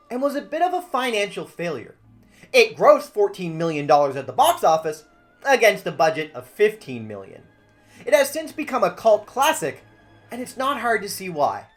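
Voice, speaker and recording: A woman hosting a film review, reading a scripted video essay with wry, joking asides. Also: mid-range voice.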